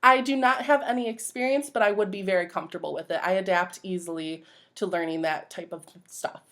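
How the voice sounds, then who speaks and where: A young adult woman with a medium-pitched voice answers questions in a job interview. She sounds earnest and slightly nervous, explaining her experience and suitability as an applicant. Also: fairly quick, a bit bright.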